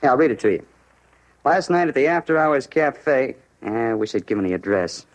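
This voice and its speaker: An American actor playing a talkative, eager bar owner full of street slang in a 1950s radio crime drama. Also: male voice, medium pitch, very animated, a little fast, natural voice, rough recording. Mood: eager, helpful.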